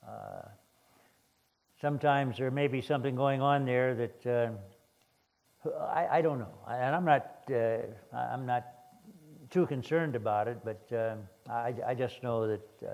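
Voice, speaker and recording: An older man preaches in a hoarse voice, often stumbling and restarting mid-sentence. His delivery is plain and unhurried as he reads scripture and comments on it. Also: mid-range voice, animated, darkish tone. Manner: halting, many restarts and fillers. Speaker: guest preacher. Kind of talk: sermon.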